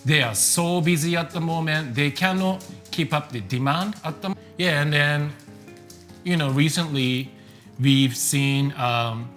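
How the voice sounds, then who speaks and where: A man's voice: on the high side, a little slow, soft background music. A man with a Japanese accent, a tea business owner casually introducing himself with lots of fillers. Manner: relaxed, many fillers.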